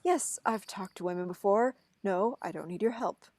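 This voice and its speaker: A woman's voice: mid-range voice, normal speed, darkish tone, a little hiss or hum, close to an everyday voice. A woman playing an awkward, reluctant, exasperated young man in an amateur script reading, flustered and protesting.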